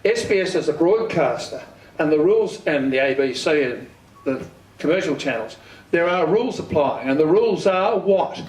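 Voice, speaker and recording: A man's voice: on the high side, expressive, normal speed. A plain-spoken Australian man giving an informal instructional talk, often putting questions and answering them himself. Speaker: amateur radio club speaker. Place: community hall. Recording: echoey room, distant microphone.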